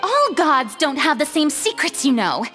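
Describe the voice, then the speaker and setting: An actress playing a flamboyant, evasive young female goddess who speaks in a theatrical, defensive, dramatic way, heard as game audio with reverb over soft music. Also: high-pitched, very animated, a little slow, full character voice.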